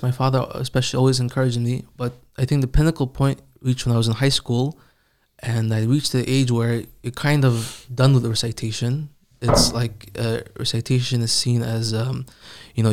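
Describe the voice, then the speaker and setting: Man, mid pitch, flat delivery, calm reflective guest, interview. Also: clean tone. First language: not Arabic. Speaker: Quran reciter, guest. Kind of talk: casual interview.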